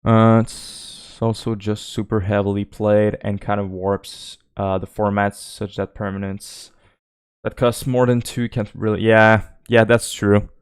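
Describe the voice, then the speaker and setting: A man's voice: fairly low pitch, little pitch movement, measured pace, clean tone, no echo, clean studio sound. A French Canadian man whose first language is French, streaming a card game and thinking aloud while answering chat questions. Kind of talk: live gameplay commentary.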